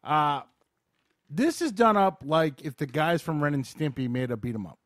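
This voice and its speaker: A man's voice: on the high side, sing-song, moderate pace, a bit bright, no room sound, studio quality. A man hosting a podcast, casually reviewing video games in informal, slangy language and short bursts of explanation. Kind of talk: casual chat, game review.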